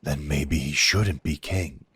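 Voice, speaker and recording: A man acts a duke's loyal werewolf right-hand man in a fantasy audio drama. The voice is very low and husky. He talks bluntly and familiarly, with a sardonic, defiant streak. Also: slow pace, fairly bright tone, no room sound, full character voice. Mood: indignant.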